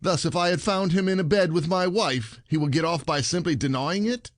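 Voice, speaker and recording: A man's voice: fairly high pitch, a little fast, no echo, studio quality, close to an everyday voice. A man playing an aggrieved, stubborn common husband, indignant and grudging, in a classic comedy audio play.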